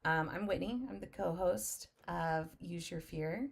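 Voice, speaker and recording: A woman's voice: fairly low pitch, a little slow, creaky. A woman in her forties from Texas, co-hosting a podcast in casual chat with a brash, laugh-filled manner. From Texas, born in Oklahoma. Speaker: podcast co-host.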